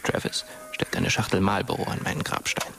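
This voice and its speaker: An actor playing a dead teenage boy who narrates a German radio drama as a wry, wistful ghost in a hushed, measured way. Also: slight background noise, close to an everyday voice. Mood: wistful, observant. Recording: music under the voice.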